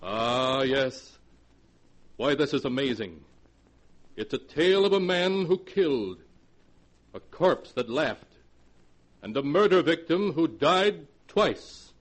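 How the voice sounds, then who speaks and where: An actor narrating a 1940s radio horror series as the keeper of a mysterious book, speaking with dramatic foreboding. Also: male voice, a little high, sing-song, takes it slowly, long pauses, exaggerated voice.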